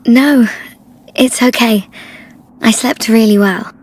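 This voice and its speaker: An actress playing a gentle, earnest young woman in a video game cutscene, soft-spoken, hesitant and sincere. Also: on the high side, slow pace. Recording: loud orchestral string music behind. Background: violin-led game score.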